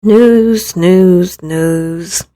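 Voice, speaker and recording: A woman's voice: fairly low pitch, drawn-out words, mellow. A woman narrating a first-person story expressively, acting out the thoughts as she reads. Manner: acts out the narrator's thoughts, with stumbles in reading. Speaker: narrator reading a visual novel aloud.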